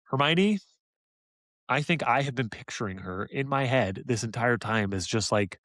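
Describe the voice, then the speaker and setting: A man's voice: medium pitch, lively intonation, moderate pace, a bit bright, no echo, studio quality. A man co-hosting a podcast in casual review discussion, stressing points by repeating his phrases. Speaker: podcast co-host.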